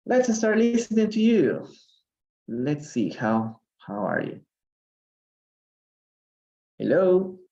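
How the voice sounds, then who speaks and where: A man's voice: a little high, wildly swooping pitch, slow, long pauses, mellow, muffled recording. Man from Peru teaching English live. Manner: encouraging, hesitant with many fillers. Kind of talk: live online reading lesson, switching to Spanish. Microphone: livestream call audio.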